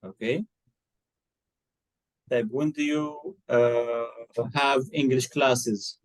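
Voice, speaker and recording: A man's voice: mid-range voice, steady tone, extremely slow, poor audio. Patient man teaching English. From Egypt. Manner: patient, coaxing, repeats and corrects answers. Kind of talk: one-to-one online English lesson.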